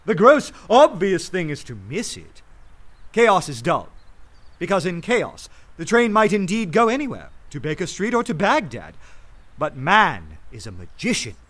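An actor playing an earnest, respectable poet of law and order in a radio play, speaking with literary rhetoric. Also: male voice, high voice, huge pitch swings, a bit bright, exaggerated voice. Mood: fervent debate. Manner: measured when narrating, fervent and rhetorical in debate. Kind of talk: radio drama narration and acting. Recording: music bed under the voice.

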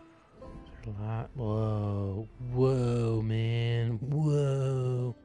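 Dude streaming a game, medium voice, flippant, repeats himself, swears, faint music behind. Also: male voice, mid-range voice, steady tone, very slow, mellow. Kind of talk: casual live gameplay commentary.

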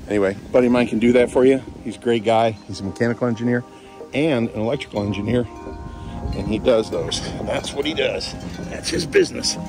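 A hoarse man from Kentucky, a real estate agent chatting casually as he walks a property tour outdoors, trailing off with fillers. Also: medium pitch. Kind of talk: casual walk-through chat. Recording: outdoor noise with a passing vehicle.

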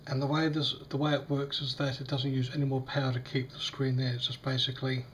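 A man with a slightly croaky voice, demonstrating a device in a casual, hesitant way with many fillers. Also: medium pitch, monotone, fast talker, a bit bright, slight background noise. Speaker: tech unboxing vlogger. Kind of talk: casual product demo. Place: small indoor room at a table.

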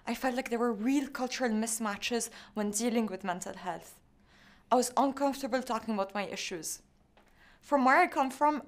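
A young woman from Lebanon, a startup founder, delivering a rehearsed, measured pitch. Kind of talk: scripted pitch.

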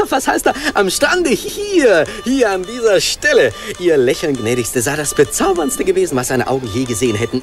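An actor playing a gushing, brash charmer with an effusive, halting manner in a radio play. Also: male voice, fairly high pitch. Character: gushing, flattering, brash showman courting a nymph. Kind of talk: German radio play acting.

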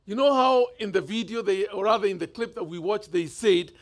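Kenyan male bishop, 50s, high voice, chatty storytelling sermon. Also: a little fast. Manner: conversational storytelling, gestures freely. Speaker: bishop preaching. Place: church stage indoors.

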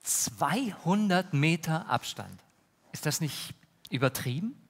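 A man in his thirties playing an earnest, polite talk-show host with a formal, measured manner. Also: on the high side, big pitch swings, gravelly, husky, exaggerated voice. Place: large brick-walled indoor stage. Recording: reverberant theatre hall.

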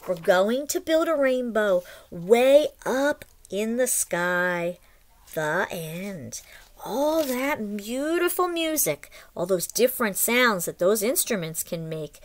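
Woman with lively, sing-song read-aloud delivery. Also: mid-range voice. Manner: expressive, sing-song, deliberate delivery addressed to children. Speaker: teacher reading a picture book aloud. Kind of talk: read-aloud lesson for young children. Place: small room at home, indoors.

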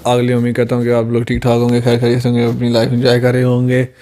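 A man's voice: mid-range voice, monotone, racing, warm tone. A man welcoming viewers politely to a reaction video from a living room. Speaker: reaction co-host. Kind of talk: greeting viewers.

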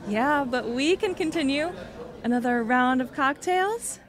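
A woman's voice: high-pitched, lively intonation, a little slow, some chatter behind. A young woman playing a sociable, insistent friend in an amateur audio drama. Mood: casual.

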